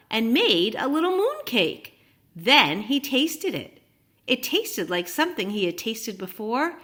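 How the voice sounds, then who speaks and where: A woman reading a story aloud to young children, animated and encouraging, often putting questions to her listeners. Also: a little high.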